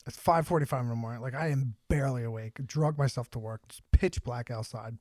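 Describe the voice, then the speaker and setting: A man's voice: medium pitch, a little fast, a little mellow, dry recording. A man co-hosting a podcast, chatting casually and telling stories in slangy, sweary talk. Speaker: podcast co-host. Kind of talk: casual chat and anecdotes.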